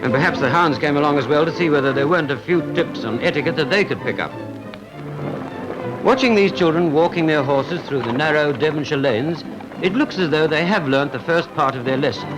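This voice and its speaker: A man's voice: a little high, brisk, rough recording. A man with a Received Pronunciation British accent reads scripted 1940s newsreel narration in a formal, wryly humorous manner. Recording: vintage 1940s film soundtrack with music. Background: background music.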